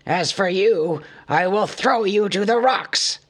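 A man's voice: on the high side, lively intonation, a bit bright, pitched above the performer's own voice, full character voice. A man reading an audiobook, voicing a proud, stubborn older dwarf king with a grave, wrathful manner. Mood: raging. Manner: grave and measured, growing furious.

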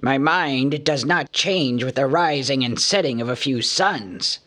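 A man's voice: medium pitch, pushed and bright. A man reading an audiobook, voicing a proud, stubborn older dwarf king with a grave, wrathful manner. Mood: stern. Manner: grave and measured, growing furious.

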